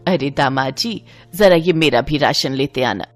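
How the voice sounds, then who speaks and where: A woman's voice: a bass voice, measured pace, no room sound, soft background music, pitched below the performer's own voice. A woman voicing a chatty, friendly middle-aged landlady and mother with a persuasive manner in an audio story. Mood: breezy.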